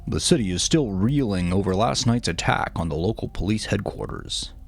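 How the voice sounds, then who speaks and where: A man voicing a male radio news anchor, reading in a measured, formal manner. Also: on the low side, animated, creaky, breathy edge, soft background music, voice pushed up, full character voice. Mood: grave.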